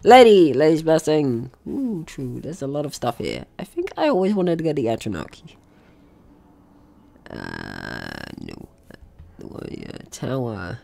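A man gives casual video game commentary in a hugely animated voice. He thinks aloud playfully, with sudden exclamations and muttered asides. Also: medium pitch, measured pace, creaky, slight background noise. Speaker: gaming video host. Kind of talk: casual let's play commentary.

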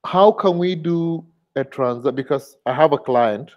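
A man's voice: fairly high pitch, a little slow. A man with a Nigerian accent asking an unscripted question as an attendee on an online webinar. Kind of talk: unscripted question on a group call. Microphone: video call. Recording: dull, lo-fi video call audio.

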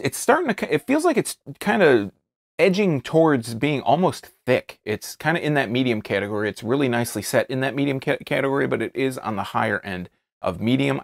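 An American man hosts a casual review, talking off the cuff in a voice with noticeable vocal fry. He often restates himself as he searches for the right description. The sound is very dry, with hardly any room in it. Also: mid-range voice, no echo. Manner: halting, repeats and rephrases as he describes. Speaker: beer reviewer, video host. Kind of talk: casual unscripted review to camera. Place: small indoor studio, seated at a table.

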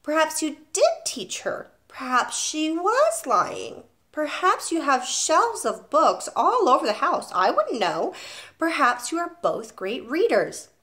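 A woman's voice: sits high, big pitch swings, moderate pace, pitched above the performer's own voice. A woman reading aloud and voicing a polite but firm young female schoolteacher, speaking precisely and growing insistent. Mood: pointed. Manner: precise, courteous, turning sharp and insistent.

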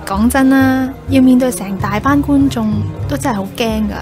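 A friendly, chatty young woman played by an actress with a slightly high voice and a coy manner speaks Hong Kong Cantonese in a radio drama, with music clearly behind her. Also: normal speed, natural voice. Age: young adult. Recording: music bed underneath.

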